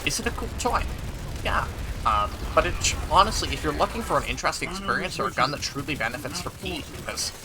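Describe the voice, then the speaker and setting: A man's voice: fairly high pitch, sing-song, quick pace. Man reviewing over noisy game gunfire. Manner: analytical, talks while playing. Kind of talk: casual gameplay commentary and weapon review. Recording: gunfire and game battle noise behind. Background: video game gunfire and vehicles.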